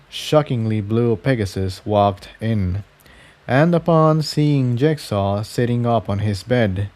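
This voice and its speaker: A man's voice: lowish voice, a little mellow. A man reading fan fiction aloud as scripted story narration.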